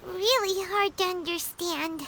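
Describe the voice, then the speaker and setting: A woman voicing a small childlike fairy companion in a video game, squeaky, earnest and easily flustered. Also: steady tone, unhurried, background noise, exaggerated voice. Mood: puzzled, earnest. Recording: clear background music under the voice.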